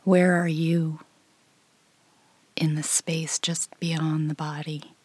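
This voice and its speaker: A woman leading a guided meditation in a soft, breathy, near-whispered voice, gently and without hurry, leaving long pauses. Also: low-pitched, flat delivery, no echo. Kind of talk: guided meditation, slow calm instruction.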